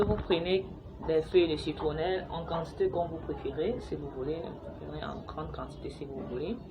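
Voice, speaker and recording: A woman's voice: deep voice, noisy background. A young woman from Cameroon, chatting to camera at home about a home remedy, hesitant, with many fillers and restarts. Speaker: vlogger sharing a home remedy. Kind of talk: casual how-to talk to camera. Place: home, with noisy neighbours and children playing outside.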